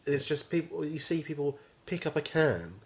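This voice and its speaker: A man's voice: on the high side, expressive, moderate pace. A man in his twenties co-hosting a podcast, chatting casually, rambling and swearing offhandedly. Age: 20s. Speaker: podcast co-host. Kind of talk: casual chat.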